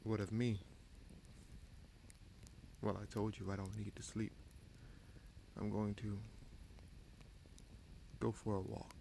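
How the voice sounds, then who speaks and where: A man playing a vengeful, brooding bastard prince and sorcerer in a fantasy audio roleplay, speaking in a measured, solemn, halting way. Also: fairly low pitch, flat delivery, gravelly, warm tone, a little hiss or hum. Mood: calm, pensive.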